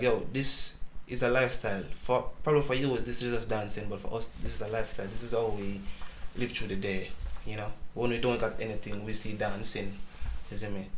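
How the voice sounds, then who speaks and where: A Jamaican man, a dancehall dancer, talking casually in an interview with a relaxed, patois-inflected way of speaking. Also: on the low side, echoey room, a little hiss or hum, rough recording.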